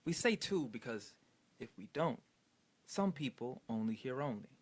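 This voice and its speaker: A man speaking seriously and deliberately, a stage actor appearing as a guest on a panel. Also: medium pitch, very animated. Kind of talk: earnest panel interview talk.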